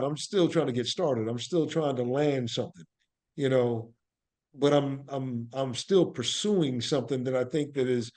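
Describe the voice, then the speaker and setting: A man's voice: mid-range voice, darkish tone. A man giving reflective interview answers as a guest, speaking earnestly and motivationally, repeating phrases for emphasis. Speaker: podcast guest, actor and playwright.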